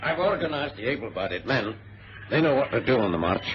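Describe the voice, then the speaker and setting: An actor playing a brisk, precise ex-colonel who tells his adventure in a formal radio-drama style. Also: medium pitch, big pitch swings, natural voice. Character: brisk ex-colonel plane passenger, an orderly organizer. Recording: noisy muffled vintage radio drama recording.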